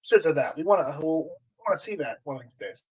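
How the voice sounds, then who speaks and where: Higher-voiced man hosting a casual live stream. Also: animated, slightly rough, poor audio. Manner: halting, repeats words. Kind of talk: casual chat, reading out viewer comments.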